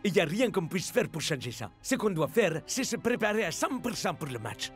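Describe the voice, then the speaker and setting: A man's voice: high-pitched, sing-song, fairly quick, soft background music. A man voicing an earnest young football player in a cartoon, rallying the team. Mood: determined. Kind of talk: cartoon voice acting.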